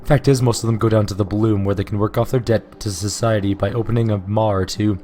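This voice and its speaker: A hard-nosed female slaver snaps out blunt, contemptuous answers in a hoarse, low voice, as a man reads her lines aloud. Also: steady tone, fast, mellow, lightly acted. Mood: dismissive. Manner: curt, contemptuous. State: hoarse from illness.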